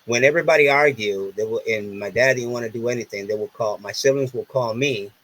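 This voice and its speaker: Puerto Rican man, Spanish first language, husky tone, earnest storyteller, lots of restarts. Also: medium pitch, a little fast, a bit bright, rough recording. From Puerto Rico. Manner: earnest, repeats himself, many restarts. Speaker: podcast guest. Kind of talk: casual interview storytelling.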